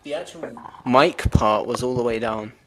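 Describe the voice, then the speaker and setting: A man's voice: medium pitch, moderate pace, fairly bright tone, a little hiss or hum. A man on a live podcast voice call, laid-back and self-deprecating. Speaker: podcast guest. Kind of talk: casual group chat on a live stream. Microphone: online voice call.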